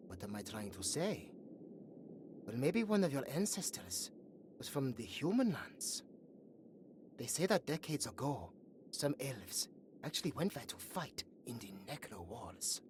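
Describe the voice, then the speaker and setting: A woman playing a young, earnest and chatty elven guard in a fantasy audio roleplay, musing aloud in a soft, hesitant way. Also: rumbling low, a little hiss or hum, heavily acted.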